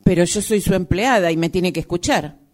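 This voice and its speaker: A woman acting as a weary, aggrieved seamstress who protests haltingly to her boss in an improvised radio drama. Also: fairly low pitch, darkish tone, lightly acted. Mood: aggrieved, hesitant.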